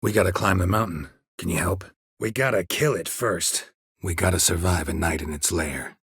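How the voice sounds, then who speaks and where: Here is a world-weary, stoic monster hunter from a fantasy video game. The voice is very deep and heavily rasped, recorded close and dry. Lines come out terse and deadpan, with a sardonic edge. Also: male voice, moderate pace, dry recording, exaggerated voice. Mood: deadpan, businesslike. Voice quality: low, gravelly rasp. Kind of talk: video game character dialogue.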